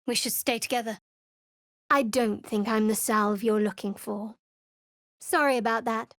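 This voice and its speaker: Guarded young shadow cleric woman, wry and measured, game dialogue, bit high, close dry sound. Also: steady tone, dry recording. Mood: wry, cautious. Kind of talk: video game voice acting.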